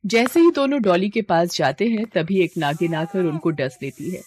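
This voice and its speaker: A woman's voice: lowish voice, expressive, moderate pace, darkish tone, a little hiss or hum. A woman narrating a scripted moral story as a storyteller.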